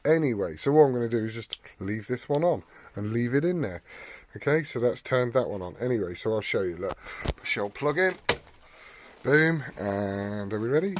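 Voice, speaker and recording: A man's voice: medium pitch, lively intonation, normal speed, a little hiss or hum. A man giving a casual, sweary hands-on repair vlog, muttering to himself as he works. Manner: informal, sweary, muttering to himself while working. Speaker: hobbyist video host.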